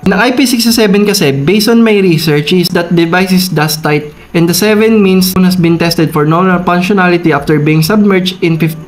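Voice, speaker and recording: A man's voice: high-pitched, moderate pace, few pauses, soft background music. A Filipino man talking casually as a vlogger doing an unboxing review, mixing Tagalog with English.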